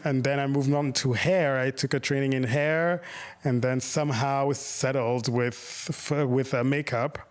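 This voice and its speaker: A man in his thirties speaks as an interview guest, thoughtful and unhurried. He circles back and restarts his sentences while searching for the right words. His voice sits at an ordinary middle pitch. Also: steady tone. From Rwanda, raised in Belgium. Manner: reflective, with many fillers and restarts. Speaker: guest, makeup artist and photographer. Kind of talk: casual interview conversation.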